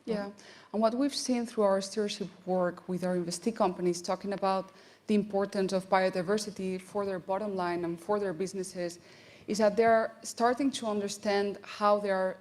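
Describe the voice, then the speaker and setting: A woman speaking as a sustainable investing specialist on a panel, explaining clearly. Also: medium pitch, fairly even intonation, normal speed, darkish tone. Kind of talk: panel discussion.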